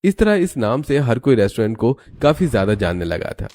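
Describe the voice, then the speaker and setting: Mellow male story narrator. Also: mid-range voice, very animated, a little fast.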